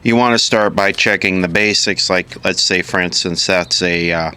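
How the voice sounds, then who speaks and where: A man's voice: sits low, normal speed, sharp tone, clean tone. A man giving an informal hands-on repair tutorial, matter-of-fact and thinking aloud piece by piece as an instructor.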